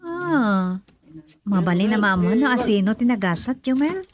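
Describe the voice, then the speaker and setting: A woman's voice: mid-range voice, lively intonation, normal speed, slight background noise, natural voice. A woman playing an inquisitive, teasing friend in a radio drama, with a probing way of talking. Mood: curious, probing. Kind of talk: Ilocano radio drama acting.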